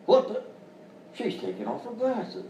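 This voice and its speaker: An actor playing an excitable comic supporting man in a live radio-style sketch. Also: very high voice, unhurried, slight background noise, heavily acted. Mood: surprised. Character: excitable male sidekick, suitor and cuckolded police chief. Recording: distant, echoey live stage recording.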